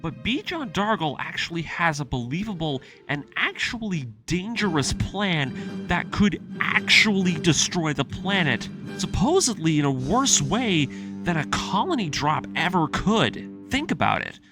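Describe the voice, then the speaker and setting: Emphatic, animated male anime essayist. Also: on the high side, unhurried. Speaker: anime video essayist and host. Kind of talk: scripted story recap with personal commentary. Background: music.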